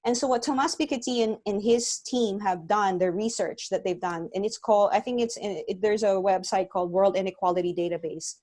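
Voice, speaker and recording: A woman's voice: mid-range voice, brisk, few pauses, a little mellow. A woman, an environmental scientist, giving an earnest, conversational webinar talk over a video call. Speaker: guest speaker, environmental scientist.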